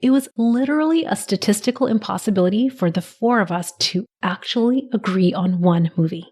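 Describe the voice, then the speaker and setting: Woman in her 30s hosting a podcast solo, bit raspy from a cold, gentle encouraging coach vibe. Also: mid-range voice, a little fast. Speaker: podcast host.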